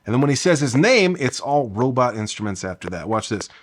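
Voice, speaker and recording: A man's voice: medium pitch, big pitch swings, brisk, slight background noise. A man giving an enthusiastic, conversational video essay commentary, explaining things with emphasis as host.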